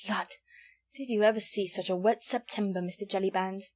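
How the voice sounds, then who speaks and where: A woman doing a doleful old rustic villager, quiet and grumbling. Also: mid-range voice, steady tone, fairly quick, lightly acted. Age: 70s. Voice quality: quiet, mournful, rustic drawl. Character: elderly male village sage, doleful and pompous.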